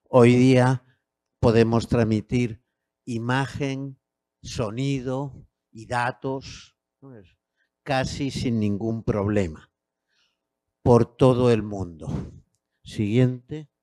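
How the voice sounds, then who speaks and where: A man in his sixties from Spain, with a Castilian Spanish accent, giving a measured academic lecture into a handheld mic in a university auditorium, with hesitant fillers. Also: mid-range voice, fairly even intonation, dark tone, no room sound. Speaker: guest lecturer.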